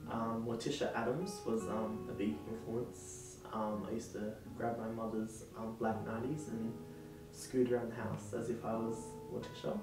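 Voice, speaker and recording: A man's voice: lowish voice, flat delivery, moderate pace. Hesitant young man, distant echoey sound. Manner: hesitant, many ums. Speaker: drag performer interviewee. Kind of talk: casual interview storytelling. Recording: distant echoey mic with music. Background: guitar music.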